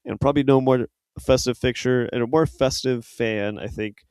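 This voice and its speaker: Smooth-voiced man in friendly banter. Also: medium pitch, fairly even intonation, moderate pace, darkish tone, no echo, clean studio sound. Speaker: podcast co-host. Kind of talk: casual soccer podcast chat.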